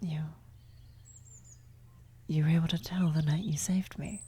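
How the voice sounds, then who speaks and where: A woman playing a worried, tender vampire sorceress in an ASMR fantasy roleplay, speaking softly and haltingly, often near a whisper. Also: low-pitched, steady tone, exaggerated voice. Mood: surprised, uneasy. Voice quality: soft, breathy, often slipping into whisper. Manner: halting, hesitant, gentle. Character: worried female vampire blood sorcerer, tender, guilty lover. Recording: outdoor birdsong and ambience behind. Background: birdsong, nature ambience effects.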